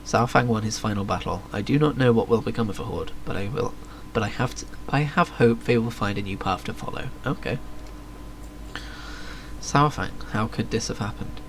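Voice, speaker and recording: A man's voice: fairly low pitch, moderate pace, a little hiss or hum, low-quality recording. A man live-streaming a game, reacting casually and wryly and reading quest text aloud. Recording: game music behind. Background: game music.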